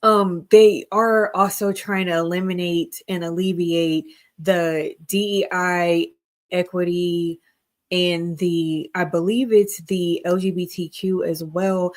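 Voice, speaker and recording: A woman's voice: lowish voice, steady tone, slow. A woman co-hosting a casual live-stream discussion, explaining earnestly and checking her notes as she goes. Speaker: co-host.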